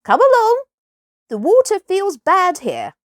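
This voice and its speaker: A brisk, knowing male porpoise from a children's storybook played by a woman, pitched high and swooping. He leads his school, giving bossy instructions in a measured, unhurried delivery. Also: wildly swooping pitch, no echo, clean studio sound, exaggerated voice. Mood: brisk, instructive. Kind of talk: children's storybook character reading.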